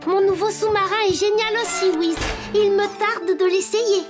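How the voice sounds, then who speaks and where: Une femme qui joue un petit garçon pantin de dessin animé, serviable, enjoué et plein d'entrain. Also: female voice, extremely high pitch, fairly even intonation, moderate pace. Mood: cheerful, eager. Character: cheerful, helpful little wooden toy boy who drives. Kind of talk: cartoon dub acting. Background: loud music.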